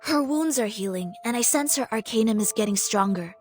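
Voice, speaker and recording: Woman as a fairly high, grave fantasy companion. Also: lively intonation, brisk, a little mellow, soft background music, lightly acted. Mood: grave, urgent. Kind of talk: video game voice acting.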